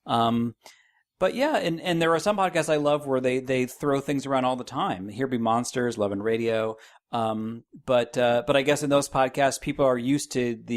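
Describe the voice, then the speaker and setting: Reflective, halting man of average pitch. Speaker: podcast host as guest. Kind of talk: casual panel chat.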